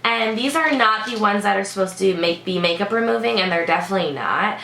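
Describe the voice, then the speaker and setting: A woman's voice: mid-range voice, expressive, a little fast, vocal fry, a bit bright, echoey room, a little hiss or hum. A woman beauty vlogger with a congested, sick-sounding voice, chatting casually through a product review with lots of "like" and "um". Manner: chatty, many "like" and "um" fillers.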